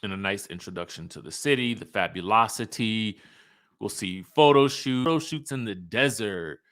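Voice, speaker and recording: A man's voice: on the low side, normal speed, a bit bright. A man hosting a reality TV commentary show, speaking in a conversational, emphatic, opinionated way, sometimes reading out cast bios.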